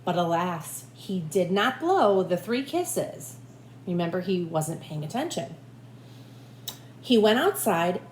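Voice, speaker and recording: Woman reading a children's story, echoey room. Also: medium pitch. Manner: animated, addresses young listeners directly. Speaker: teacher reading a picture book aloud. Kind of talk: expressive children's read-aloud with asides to listeners. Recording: echoey room with faint background noise.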